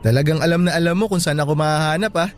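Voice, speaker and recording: A man's voice: a little high, very fast, lightly acted. A man playing an easygoing young best friend in a radio drama. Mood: easygoing, teasing.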